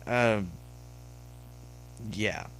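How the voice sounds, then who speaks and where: A man voicing a cynical, awkward young male pony student, halting and deadpan, in a story. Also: lowish voice, slight background noise, poor audio. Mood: flustered.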